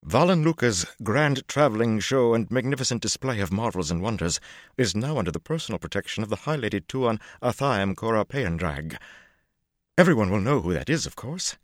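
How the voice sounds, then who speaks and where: A man voicing an excitable, fawning male showman who gushes and scrapes before nobility. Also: fairly low pitch, a little fast, no echo, studio quality, pitched above the performer's own voice. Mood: gushing. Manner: gushing, bowing and scraping, breathless excitement.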